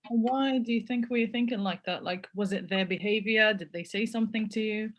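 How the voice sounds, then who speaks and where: Measured woman moderating a webinar. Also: medium pitch, dull audio. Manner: measured, pausing often. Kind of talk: hosting an online panel. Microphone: video call.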